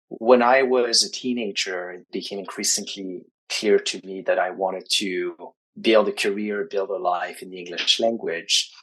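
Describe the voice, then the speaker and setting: Man, husky, lowish voice, measured explanations. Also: a bit bright, low-quality recording. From Quebec, Canada. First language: French. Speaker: writing coach, company founder. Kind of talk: interview answers, personal story.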